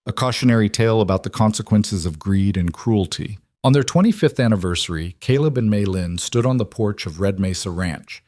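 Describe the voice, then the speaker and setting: A man narrating a scripted story in a measured, even way. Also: on the low side, no room sound, clean studio sound.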